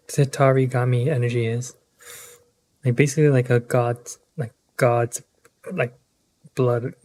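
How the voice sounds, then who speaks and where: A man's voice: mid-range voice, mellow. A man giving casual, rambling, hesitant commentary as he plays through a game, with slangy phrasing. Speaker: game streamer.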